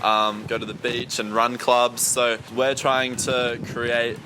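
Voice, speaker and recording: A man's voice: mid-range voice, monotone, slow, noisy background. A man, an event organiser, speaking casually and fluently. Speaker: coffee rave organiser.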